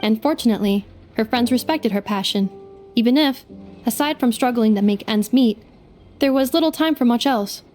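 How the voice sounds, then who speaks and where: A woman voicing a gentle female robot companion in a video game, speaking in a measured, formal, slightly mechanical way. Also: fairly high pitch, soft background music, lightly acted. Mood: explaining.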